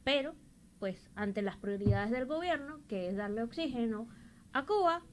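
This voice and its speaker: A woman's voice: fairly high pitch, lively intonation, normal speed, slight background noise. A woman presenting and commenting on the news as a radio host.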